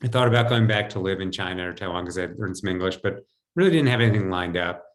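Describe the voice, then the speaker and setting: A low-voiced American man in his fifties talks as a guest on a video call. He tells his own story in a conversational way, as if chatting with the interviewer. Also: fast. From United States, New Jersey. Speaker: podcast guest, financial advisor. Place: home, indoors. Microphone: video call computer mic.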